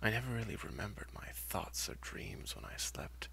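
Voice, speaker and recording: A man narrating a first-person horror story in a husky, breathy, half-whispered voice, with theatrical, brooding and halting delivery. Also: on the low side, sing-song, a bit bright.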